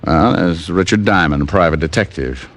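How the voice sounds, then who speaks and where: A man's voice: low-pitched, normal speed, a bit bright. A man playing a wisecracking private detective in a 1950s radio drama, narrating and talking with dry, sardonic delivery. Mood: glib, cool.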